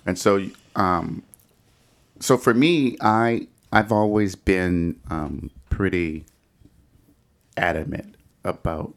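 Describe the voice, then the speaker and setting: A man's voice: low voice, slow, gravelly, pauses often. A middle-aged American man from Detroit hosting a conversational podcast discussion and asking questions. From Detroit, United States. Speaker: podcast host.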